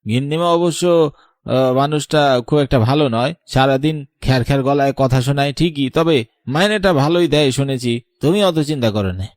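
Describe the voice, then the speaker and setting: A man's voice: medium pitch, moderate pace, no room sound, studio quality, close to an everyday voice. A man playing a skeptical village husband in a cartoon, dismissive as he talks to his wife. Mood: dismissive, reassuring.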